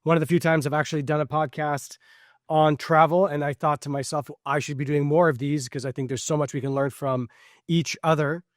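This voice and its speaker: A man's voice: fairly high pitch, steady tone, fairly quick, no room sound, clean studio sound. A middle-aged man born in Switzerland, a podcast guest answering questions in a reflective, conversational way with many fillers and restarts.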